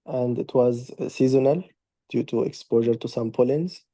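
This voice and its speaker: A man's voice: medium pitch, moderate pace, dark tone, no echo. A man playing a doctor taking a patient's history, polite and formal, with slightly awkward phrasing. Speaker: doctor in practice consultation. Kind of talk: role-played clinical history taking.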